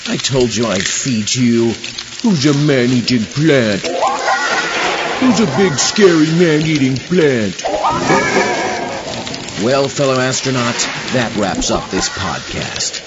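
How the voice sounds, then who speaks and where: A man's voice: mid-range voice, lively intonation, slow pace, long pauses, highs cut off. A man hosting a podcast in a playful, hammy, scripted comic style. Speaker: podcast host. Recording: band-limited, loud sizzling noise.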